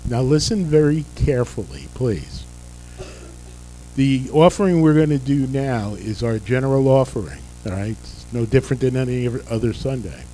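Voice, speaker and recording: A man's voice: mid-range voice, very animated, moderate pace, warm tone, soft background music, low-quality recording. Older pastor losing his voice. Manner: folksy, joking asides. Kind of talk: church service announcements and prayer. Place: church sanctuary.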